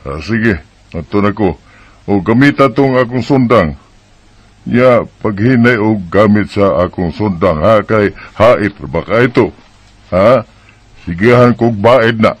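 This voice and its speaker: A man's voice: fairly low pitch, no room sound. A man playing a rural farmer father who gives careful instructions, in a Bisaya radio drama with a Cebuano accent. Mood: matter-of-fact, cautioning. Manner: unhurried instructions, ends with checking tags.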